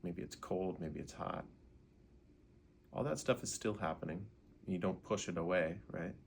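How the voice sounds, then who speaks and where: A man leading a guided meditation in an unhurried way, gently asking questions and leaving pauses. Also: sits low, gravelly, slight background noise.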